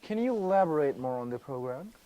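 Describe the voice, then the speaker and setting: A man plays the host of a student-made TV interview, his voice rising and falling in lively swings. He asks polite, formal questions and cheers each answer. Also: on the high side, a little fast. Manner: enthusiastic, formal interviewer phrasing. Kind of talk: mock TV show hosting.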